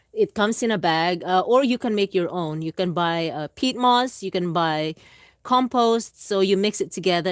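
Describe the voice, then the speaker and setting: A woman hosting a casual live gardening stream, talking in a halting, chatty way with many restarts. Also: on the low side, dry recording, studio quality. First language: Filipino language. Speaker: gardening channel host. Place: indoors at home.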